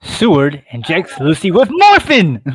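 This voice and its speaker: A man's voice: high-pitched, huge pitch swings, a little slow, a bit bright. A man reading stage directions and narrating an amateur radio play on a podcast. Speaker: host and narrator of a table read. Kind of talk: casual scripted narration.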